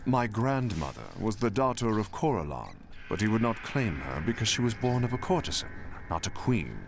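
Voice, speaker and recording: A man's voice: lowish voice, big pitch swings, normal speed, background noise. A man voicing an ambitious, ruthless usurper in a video game, theatrical, self-righteous and calculating. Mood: smug, ambitious. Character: ambitious, ruthless male usurper with royal blood.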